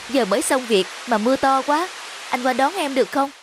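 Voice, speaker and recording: A woman voicing a tired young wife who pleads with her husband in an animated drama. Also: high-pitched, brisk, over music. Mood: pleading. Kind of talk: animated moral drama dubbing.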